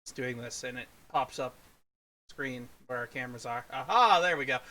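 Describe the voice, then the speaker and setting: Podcast host, bright forceful voice, casual film-review banter, teasing, bossy, livestream chat. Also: mid-range voice, moderate pace.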